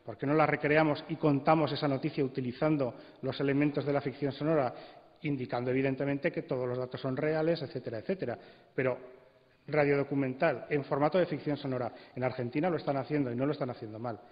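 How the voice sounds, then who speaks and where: A man's voice: medium pitch, fairly even intonation, fast. A man giving a persuasive spoken argument as a panelist at a conference. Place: conference hall, amplified event. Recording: dull, reverberant hall recording.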